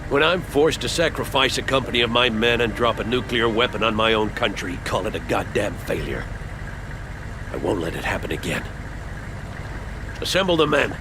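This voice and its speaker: An actor playing a hardened, guilt-ridden American army colonel in a video game, with an American accent. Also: male voice, fairly low pitch, lively intonation, normal speed, close to an everyday voice. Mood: grim, commanding. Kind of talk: dramatic video game acting. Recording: loud vehicle and outdoor noise.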